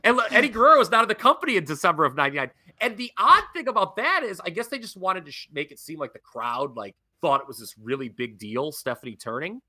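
A young adult man co-hosting a podcast, ranting with animated disbelief in casual chat. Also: a little high, fast, hardly any pauses. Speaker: podcast co-host. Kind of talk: casual wrestling review chat.

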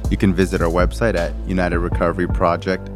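Relaxed man in his thirties hosting. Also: sits low, fast talker, over music. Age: 30s. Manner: relaxed, chatty, frequent agreeing hums. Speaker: podcast host. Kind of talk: casual podcast interview.

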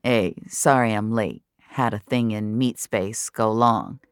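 Audio drama role, voiced by a woman: subdued, apologetic young man, casual and hesitant, low register, dry sound. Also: takes it slowly, smooth, dry recording, heavily acted. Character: Soren, low-key, apologetic young male online friend.

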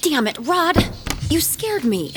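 An actress playing a nervous, secretive unfaithful wife in an audio horror drama. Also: female voice, sits high, sing-song, takes it slowly, music in the background, exaggerated voice. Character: anxious unfaithful wife in a ghost-hunting team, secretive.

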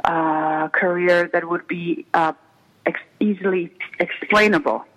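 A middle-aged Czech woman with a Czech accent, speaking earnestly and haltingly as a guest in a conversational interview. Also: fairly low pitch, fairly even intonation, a bit bright, muffled recording. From Czech Republic. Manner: earnest, halting, repeats phrases for emphasis. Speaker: interview guest, intuition healer.

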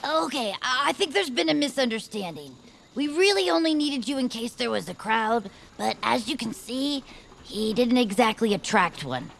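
An actress playing an eager, scheming young superfan who calls herself a space commander, talking persuasively. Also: female voice, fairly high pitch, very animated, moderate pace, creaky, a bit bright, a little hiss or hum, heavily acted. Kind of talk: audio drama acting.

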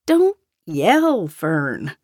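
A practical farm mother, firm and matter-of-fact in a lowish, lively voice. The audiobook performance sounds dry and close. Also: drawn-out words, breathy edge, dry recording, exaggerated voice. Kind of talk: full-cast audiobook acting.

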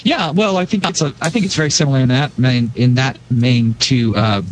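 A man's voice: mid-range voice, brisk, a little hiss or hum, poor audio. A man speaking as a podcast guest, casually analysing game play in a conversational way.

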